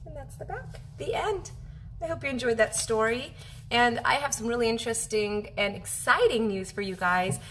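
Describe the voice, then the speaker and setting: A woman in her thirties reading a children's picture book aloud as a teacher, animated and expressive, drawing out her phrases for young listeners. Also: on the high side, moderate pace, slight background noise. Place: home kitchen, indoors.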